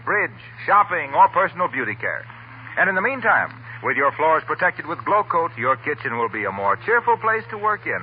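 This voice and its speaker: A man's voice: a little high, slight background noise. A man reading a 1940s radio advertisement as a polished, salesmanlike announcer. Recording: tinny 1940 radio broadcast.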